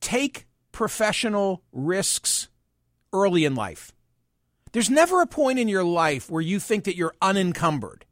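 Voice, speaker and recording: Man, 60s, host; measured, wry monologue, high-pitched and dry. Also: very animated, no echo. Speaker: podcast and radio host. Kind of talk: reflective personal monologue.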